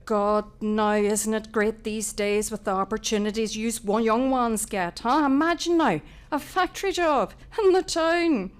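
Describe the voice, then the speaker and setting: A woman's voice: fairly high pitch, measured pace, few pauses, pitched above the performer's own voice, lightly acted. A woman voicing a nosy, scrounging older female neighbour in a story reading, falsely sweet and wheedling, with a Northern Irish accent. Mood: nosy, clucking. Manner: gushing, wheedling, gossipy.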